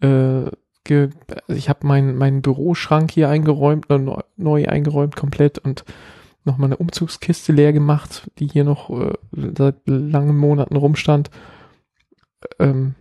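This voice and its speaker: A man's voice: fairly high pitch, little pitch movement, unhurried, mellow, no echo. A middle-aged man from Berlin with a light Berlin accent, rambling through stories in a casual podcast chat. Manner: rambling, self-mocking storytelling. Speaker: podcast co-host.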